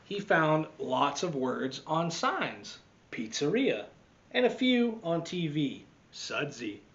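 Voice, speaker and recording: A man reading a picture book aloud to children as a teacher, expressively, with dramatic swings and deliberate pauses. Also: on the high side, very animated, a bit bright, muffled recording. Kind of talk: animated children's storytime read-aloud.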